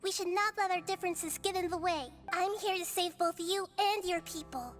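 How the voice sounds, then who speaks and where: A calm little girl goddess. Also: extremely high pitch, soft background music. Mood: earnest. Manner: soft, measured, earnest. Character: small girl goddess, calm, wise, gentle. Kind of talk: video game voice acting.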